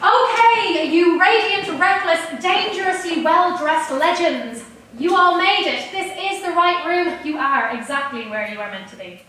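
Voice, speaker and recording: A woman's voice: very high-pitched, expressive, unhurried, sharp tone, slight background noise. A woman giving a theatrical, scripted comic introduction to a crowd at a staged reading. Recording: very echoey, distant mic.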